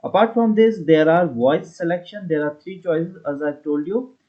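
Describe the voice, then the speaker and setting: Higher-voiced man giving a software tutorial. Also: moderate pace. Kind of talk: casual step-by-step screen tutorial narration. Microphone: voice-over for screen recording. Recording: dull lo-fi voice recording.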